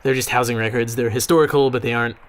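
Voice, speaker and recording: A male actor as a mild young male archivist in a naturalistic audio drama. His voice sits at an average male pitch. He is hesitant and reserved, halting as he goes, and a little awkward. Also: fairly even intonation, lightly acted. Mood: casual, reserved. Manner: hesitant, soft-spoken, halting.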